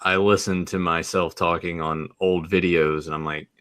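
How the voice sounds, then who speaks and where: A man's voice: low voice, flat delivery, moderate pace, fairly bright tone. A man with a Southern American accent, co-hosting a podcast in a rambling casual chat full of restarts. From Southern United States. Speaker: podcast co-host.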